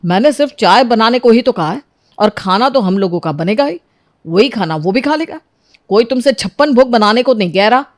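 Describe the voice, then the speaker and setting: A woman's voice: on the high side, expressive, fairly quick, darkish tone, no room sound, studio quality, lightly acted. A woman voicing a curt, demanding husband in a family story, bossy and impatient. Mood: irritated.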